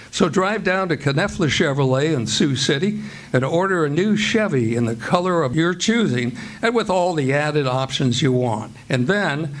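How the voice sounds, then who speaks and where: A man narrating old-time radio theatre as announcer, with measured, theatrical, presentational delivery. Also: fairly high pitch, slight background noise. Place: live stage before an audience. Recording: music behind the voice, live stage performance. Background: music.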